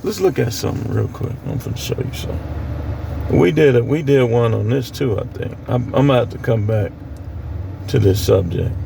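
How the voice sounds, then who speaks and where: A man's voice: mid-range voice, creaky, noisy background. An American man preaching informally on scripture in a plain-spoken, admonishing way, repeating phrases for emphasis. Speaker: street preacher.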